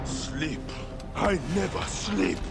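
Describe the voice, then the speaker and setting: A man playing a vengeful, menacing Egyptian warrior in a video game, with slow, intense, threatening delivery. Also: a little high, sing-song, gravelly, loud music behind the voice, heavily acted. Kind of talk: cinematic video game acting.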